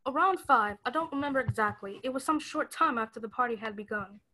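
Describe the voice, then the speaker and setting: A woman's voice: on the high side, fast talker, pushed and bright, poor audio. A woman playing a put-upon maid in a mystery radio play, polite and earnest but with some defiance. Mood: nervous. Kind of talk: stage-style mystery radio play acting.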